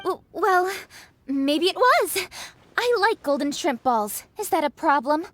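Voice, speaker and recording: High-voiced, formal young woman official. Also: sing-song, fairly bright tone, dry recording, exaggerated voice. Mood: flustered, defensive. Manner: formal, measured, slightly stiff. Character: serious, proper, conscientious young woman official. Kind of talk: scripted video game character dialogue.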